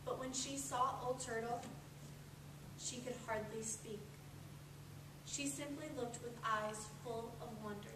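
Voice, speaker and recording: Female readers theatre narrator, deliberate storytelling delivery; distant mic with noticeable noise. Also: on the high side, fairly even intonation. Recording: echoey room, distant mic, clear noise.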